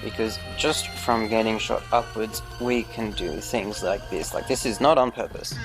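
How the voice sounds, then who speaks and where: Man calmly narrating game footage. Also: on the low side, normal speed, over music, poor audio. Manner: careful, formal phrasing with few contractions. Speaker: gaming video host. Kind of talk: gameplay commentary.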